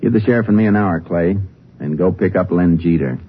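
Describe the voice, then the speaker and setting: An actor playing a steady, resourceful Texas Ranger in a 1950s radio drama, speaking in a terse, measured way. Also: male voice, low-pitched, lightly acted. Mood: calm, decisive. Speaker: lead actor and narrator. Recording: tinny, noisy old radio recording.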